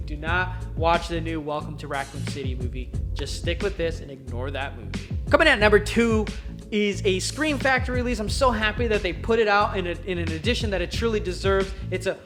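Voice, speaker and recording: Casting: chatty, upbeat film-review host with mid-range pitch, emphatic casual delivery, music bed clearly audible underneath. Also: expressive, fairly quick. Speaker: YouTube host reviewing films. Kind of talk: casual enthusiastic video commentary, countdown list. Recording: music playing behind the voice. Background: background music.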